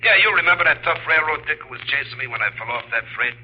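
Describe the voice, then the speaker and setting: A man's voice: mid-range voice, rapid-fire, natural voice. An actor playing the cocky, slangy ghost of a railroad hobo who talks like a chummy tough guy in radio comedy. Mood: nervous. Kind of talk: 1950s radio comedy acting. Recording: muffled old radio recording, hum and hiss.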